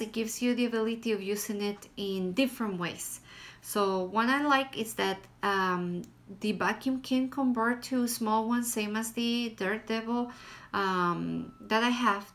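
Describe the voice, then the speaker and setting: A woman's voice: a little high, a bit bright. Woman with a Guatemalan Spanish accent. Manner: plain, hesitant, with frequent fillers. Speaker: vlogger. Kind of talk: casual product review. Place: small room at home, indoors.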